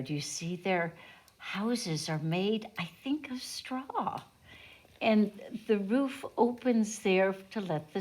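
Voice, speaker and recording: A woman teacher reading a picture book aloud to young children, deliberately, adding simple explanations as she goes. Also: lowish voice.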